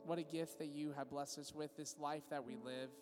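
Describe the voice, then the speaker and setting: A man's voice: on the high side, steady tone, fairly quick, over loud music. A young man from California leading worship, casually introducing his band and then praying aloud in a loose, friendly way. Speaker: worship leader. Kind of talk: church service intro and spoken prayer. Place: church sanctuary. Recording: long reverb of a large church hall.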